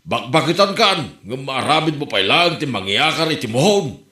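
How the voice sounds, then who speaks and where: A man's voice: medium pitch, big pitch swings, normal speed, sharp tone. An actor with an Ilocano accent playing a grumbling, feisty old village man in a comedy drama. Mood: indignant. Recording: roomy echo.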